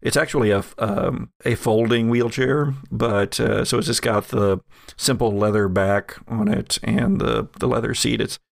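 A man working as game master, improvising descriptive second-person narration of a tabletop game in a halting way. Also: medium pitch, steady tone, creaky, no echo, studio quality.

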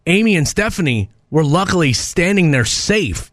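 A man's voice: on the high side, big pitch swings, normal speed, fairly bright tone, no room sound, clean studio sound. A man co-hosting a radio show, telling stories in an informal, conversational way. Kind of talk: casual radio talk, telling a news story.

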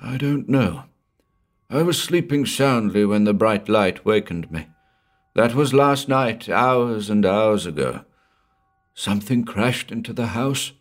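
A man voicing a big, strong man over sixty, hoarse and shaken with terror. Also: on the low side, animated, slow pace, faint music behind. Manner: frantic, pleading.

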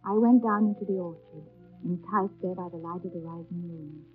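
A woman's voice: on the low side, normal speed, soft background music, natural voice. An actress in a 1930s radio drama playing an earnest, passionate young governess in love with her master, speaking in a formal, literary way. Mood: wistful, tender. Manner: literary, formal, heartfelt delivery. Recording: dull lo-fi 1930s radio recording.

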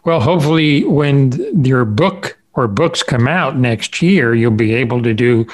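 Affable man hosting, mid-pitched voice. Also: sing-song, measured pace. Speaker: podcast host. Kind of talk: casual interview conversation.

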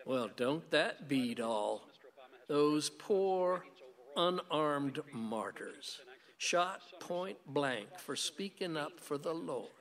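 Bright-voiced, stubborn American husband. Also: male voice, a little high, lively intonation, extremely slow, full character voice. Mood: defiant. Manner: combative, sardonic. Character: stubborn, defiant gun-owning American husband. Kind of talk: radio play acting. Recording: long hall reverb.

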